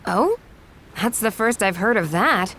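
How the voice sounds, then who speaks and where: A woman's voice: medium pitch, animated, unhurried, a bit bright, a little hiss or hum. A woman playing an upbeat, curious young adventurer in a video game cutscene, with an inquisitive manner. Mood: surprised.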